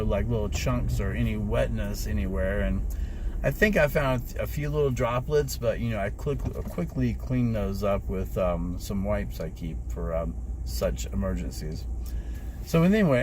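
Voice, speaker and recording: Man, about fifty, middle-pitched, rambling vlog chat, talking from a car, clear traffic noise behind. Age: 50s. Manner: rambling, filler-heavy, acts out quoted voices. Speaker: rideshare driver vlogger. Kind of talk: casual storytelling to viewers. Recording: noisy street and traffic background.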